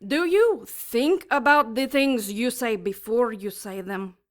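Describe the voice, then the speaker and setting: Slow, high-voiced menacing demoness. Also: female voice. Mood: threatening. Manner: slow, deliberate, mock-polite threats. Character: menacing, taunting female demon enforcer, smugly sadistic. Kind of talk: audio drama acting.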